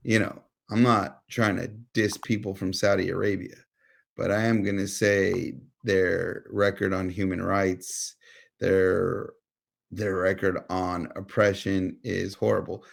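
Gravelly, low-pitched American man debating casually. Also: level tone, a little slow. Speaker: podcast co-host.

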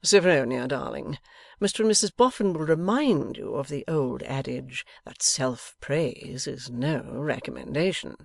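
A storybook reader voicing a smug, showy gentleman husband with a pompous, measured, smooth-talking manner. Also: medium pitch, expressive, dry recording, studio quality. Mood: smugly affectionate.

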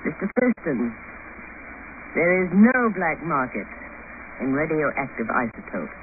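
Poised, guarded doctor on tinny radio. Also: female voice, low-pitched, big pitch swings, exaggerated voice. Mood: guarded, cool. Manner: formal, cool, deliberate. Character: poised, guarded female hospital doctor and researcher. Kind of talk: 1950s radio drama acting. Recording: noisy, tinny old radio broadcast.

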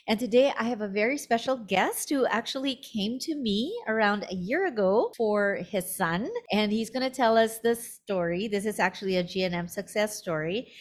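Female podcast host, Filipino accent, native Tagalog, medium-pitched voice, conversational interviewing. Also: moderate pace, hardly any pauses. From Philippines. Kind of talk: conversational interview hosting in mixed Tagalog and English.

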